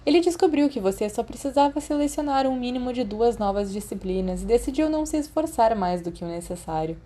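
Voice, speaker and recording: A woman's voice: fairly high pitch, expressive, fairly quick, dark tone. A woman with a Brazilian accent reading a story as a scripted audiobook narrator. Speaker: podfic narrator.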